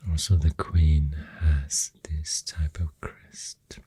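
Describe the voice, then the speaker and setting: Breathy, very low Swedish-accented ASMR whisperer. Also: flat delivery, dry recording. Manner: hushed, halting, soothing. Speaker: ASMR artist drawing while talking. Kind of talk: soft-spoken whispered ASMR rambling. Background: pencil writing and drawing sounds.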